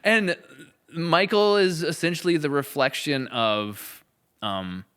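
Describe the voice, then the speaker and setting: Bright-voiced man thinking aloud. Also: fairly high pitch, takes it slowly, clear, resonant tone. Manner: rambling, thinking aloud, emphatic. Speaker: audio drama writer and podcast co-host. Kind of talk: casual reflective podcast conversation.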